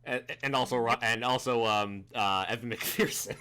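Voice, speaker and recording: A man in his thirties co-hosting a casual sports podcast, restarting sentences and rushing when excited. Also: mid-range voice, a little hiss or hum. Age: 30s. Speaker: podcast co-host.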